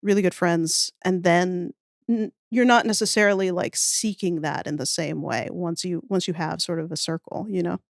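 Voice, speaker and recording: A woman's voice: lowish voice, steady tone, normal speed, creaky, no echo, studio quality. A woman guest on a podcast, chatting casually and thinking aloud with a wry, talkative manner. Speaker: podcast guest, audio fiction writer. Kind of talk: casual chat.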